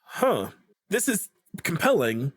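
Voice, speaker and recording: A man's voice: a little high, very animated, slow, breathy edge, no echo, clean studio sound. A man who is a guest on a podcast, talking in a thoughtful, rambling way in casual chat, with lots of "like".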